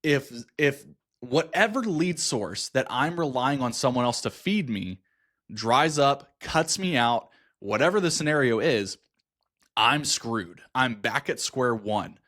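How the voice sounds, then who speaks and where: A man's voice: mid-range voice, expressive, slow pace, a bit bright. Male podcast host, encouraging, chatty. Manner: encouraging, talks through points at length with restarts. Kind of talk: interview podcast.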